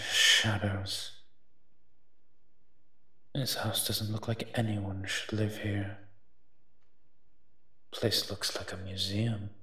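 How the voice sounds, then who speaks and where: A low, bright-edged male monster, as a man acts him out in an audio roleplay. He grumbles in a sardonic, even-pitched delivery, reluctant on the surface and secretly soft-hearted underneath. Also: heavily acted. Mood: disdainful, musing. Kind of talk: scripted character monologue, audio roleplay.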